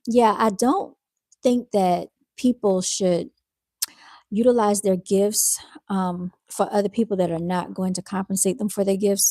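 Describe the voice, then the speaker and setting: A woman with a soft-spoken, soothing voice who talks in a calm, unhurried way, hosting a casual live chat with her viewers. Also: medium pitch, dry recording, studio quality. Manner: calm and unhurried, with fillers and restarts. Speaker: live-stream host reading viewer comments.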